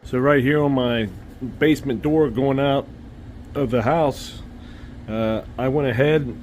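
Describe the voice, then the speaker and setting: A man's voice: mid-range voice, measured pace, darkish tone, slight background noise. A man who is losing his voice, chatting casually about his farm and woodstove, laughing between remarks. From Ohio. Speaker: homestead vlogger. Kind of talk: casual vlog walkthrough. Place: farm outdoors and basement.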